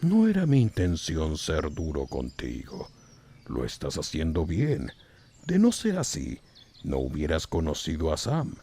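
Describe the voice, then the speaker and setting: A man playing a wise, calm old wizard mentor in an audiobook, husky and measured in his delivery. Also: very deep voice, heavily acted. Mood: gently instructive. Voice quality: gravelly, husky. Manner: slow, measured, sententious. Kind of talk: audiobook character acting.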